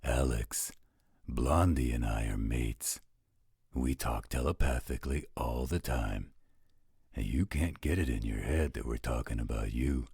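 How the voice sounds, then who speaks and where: A man playing a protective, teasing werewolf guardian in a roleplay audio drama, speaking with calm, affectionate assurance. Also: rumbling low, a little slow, vocal fry, husky, no echo, studio quality, exaggerated voice. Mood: calm, explaining.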